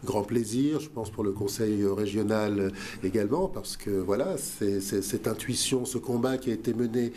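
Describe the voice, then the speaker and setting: A man's voice: lowish voice, moderate pace, mellow, a little hiss or hum. A man guest on a talk show, speaking earnestly with many fillers and restarts. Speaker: guest, museum director. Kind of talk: radio talk show interview.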